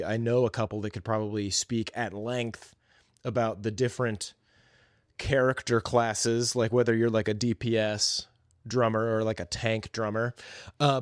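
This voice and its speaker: A man's voice: on the low side, dry recording, clean studio sound. A man hosting a solo podcast, talking in a casual, rambling, slangy and conversational way. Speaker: podcast host.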